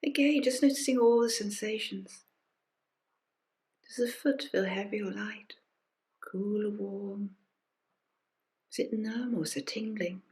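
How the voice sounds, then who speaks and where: Woman softly guiding a body scan meditation. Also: mid-range voice. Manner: gentle, unhurried, with pauses and soft invitations. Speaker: meditation teacher leading a group session. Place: recorded during an online session.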